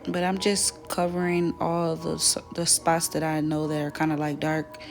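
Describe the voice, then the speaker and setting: Young woman, slightly raspy, relaxed chatty beauty tutorial, soft music behind. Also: low-pitched, normal speed. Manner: relaxed, chatty, self-correcting. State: voice dry from weather. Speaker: beauty vlogger. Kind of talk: casual makeup tutorial chat.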